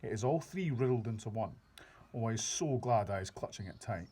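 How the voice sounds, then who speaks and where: A man reading aloud, voicing an excitable big friendly old male giant who mangles his grammar and invents words. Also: on the low side, a little fast, slightly rough. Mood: grumbling. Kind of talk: reading a children's book aloud.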